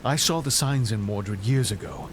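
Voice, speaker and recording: A man's voice: medium pitch, moderate pace, background noise, natural voice. A man playing an aging, remorseful king in an audio drama, grave and earnest as he pleads with his son. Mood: weary resolve. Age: middle-aged.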